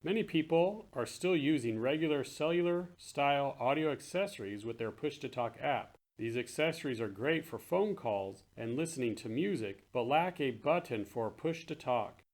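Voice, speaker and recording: A man with a mid-pitched voice presents products for a promotional video. He speaks as a straightforward company presenter, explaining things plainly. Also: lively intonation, normal speed, hardly any pauses. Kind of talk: scripted product narration, later casual demo chat.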